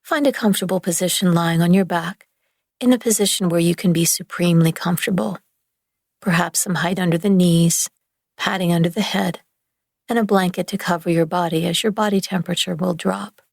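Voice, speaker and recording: A woman leading a guided relaxation meditation in a gentle, soothing, hushed manner with long pauses between phrases. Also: lowish voice, steady tone, vocal fry, no room sound, studio quality. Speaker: yoga nidra meditation guide.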